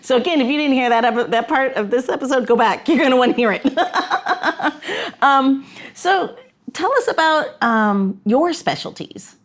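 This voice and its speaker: A woman's voice: sits high, lively intonation, normal speed. A woman hosting a podcast interview, chatty and giggly with lots of fillers. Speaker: podcast host. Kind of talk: casual chat interview.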